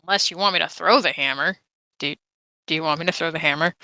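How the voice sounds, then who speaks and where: A woman's voice: low voice, fast, pushed and bright, no echo. A woman playing a tabletop game, chatting casually in a wry, rambling way.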